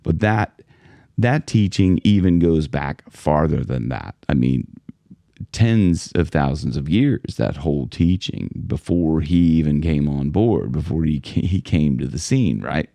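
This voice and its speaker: Very deep, gravelly-voiced man. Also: expressive, a little slow, mellow, no echo. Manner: rambling, conversational, many restarts. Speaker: vocal coach. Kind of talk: casual talk to camera.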